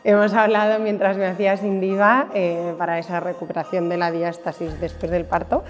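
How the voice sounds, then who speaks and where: A woman's voice: fairly low pitch, little pitch movement, moderate pace, faint music behind. A woman with a Spanish accent from Spain, hosting a casual, conversational interview as a podcast host. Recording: echoey room with long reverb.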